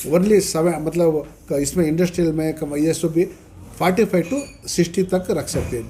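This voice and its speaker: South indian dakhni guy doing a press statement, soft dark tone, bit of background noise. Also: a little high. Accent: South Indian Dakhni Urdu. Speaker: party minority-wing official, spokesman. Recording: noisy press meet recording.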